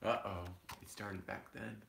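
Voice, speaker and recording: Man, storybook reader, unhurried pace, bright tone, expressive, plain asides for kids. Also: fairly low pitch, gravelly, a little hiss or hum. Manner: expressive, explains pictures and words simply. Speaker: parent reading aloud to children. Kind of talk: animated storybook reading with asides to children.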